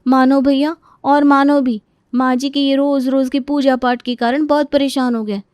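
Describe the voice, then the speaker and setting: A woman's voice: high voice, flat delivery, normal speed, darkish tone, no room sound, lightly acted. A woman voicing an outspoken, fed-up younger daughter-in-law in a moral story, with a grumbling, sarcastic manner. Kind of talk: acted dialogue in a moral story.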